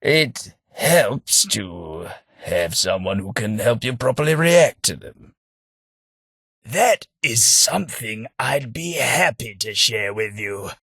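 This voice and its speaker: An actor playing a sardonic, well-spoken tithe collector in a video game, with a husky, understated delivery and dry humour. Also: male voice, medium pitch, bright, forceful tone, no echo, heavily acted. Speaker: game companion character. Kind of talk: game voice lines.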